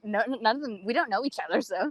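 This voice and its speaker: A woman's voice: a little high, rapid-fire, a crowd in the background, low-quality recording. A young adult woman co-hosting a podcast in casual chat, teasing and joking with a sardonic streak. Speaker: podcast co-host.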